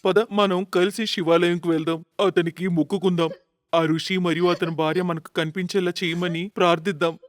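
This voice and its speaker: Earnest high-voiced elder brother played by a man. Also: flat delivery, a little fast, dry recording, clean studio sound, close to an everyday voice. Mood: reassuring. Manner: earnest, pleading. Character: devoted, anxious elder brother, protective and pleading. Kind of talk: moral-story cartoon dubbing.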